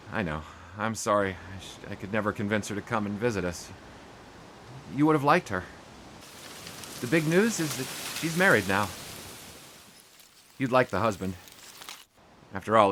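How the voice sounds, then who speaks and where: An actor playing an earnest young sportswriter in an audio drama, speaking in a halting, hushed, apologetic way. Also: male voice, lowish voice, slight background noise.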